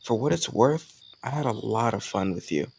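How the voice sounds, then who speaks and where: A man acting a warm, teasing young suitor in a romantic audio roleplay, talking casually and intimately to the listener. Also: lowish voice, animated, moderate pace, creaky, husky, exaggerated voice. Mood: tender, reassuring. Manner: casual, gently teasing, conversational with soft chuckles.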